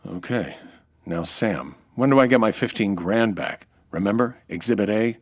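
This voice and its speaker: A man's voice: fairly low pitch, lively intonation, moderate pace. A man with an American accent playing a dry, wisecracking, hard-boiled nightclub owner in a 1940s-style radio detective drama. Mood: dry, assured. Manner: dry, wisecracking, world-weary.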